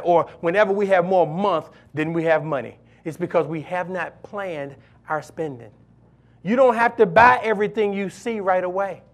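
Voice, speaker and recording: A pastor with a strikingly high voice for a man preaches to his congregation. He drives points home by repeating phrases and urging listeners directly. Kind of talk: sermon.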